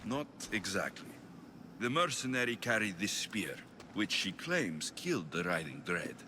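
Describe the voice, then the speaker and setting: An actor playing a calm, determined warrior hero in a video game cutscene, speaking in a steady, measured way. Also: male voice, fairly low pitch, a little hiss or hum, exaggerated voice. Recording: game cutscene with loud score behind.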